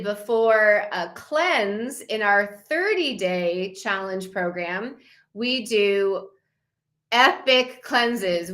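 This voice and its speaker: A woman's voice: medium pitch, sharp tone. A woman in her fifties presenting slides to a challenge group as a wellness coach, talking in an enthusiastic, promotional way. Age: 50s. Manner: enthusiastic, promotional, slow and deliberate.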